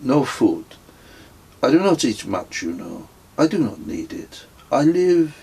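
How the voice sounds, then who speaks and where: A man's voice: medium pitch, big pitch swings, a little slow, vocal fry, dark tone, slightly rough, voice pushed up, exaggerated voice. A man voicing a serene, smug male pilgrim who speaks broken English with a strong French accent, in a sing-song, self-satisfied way.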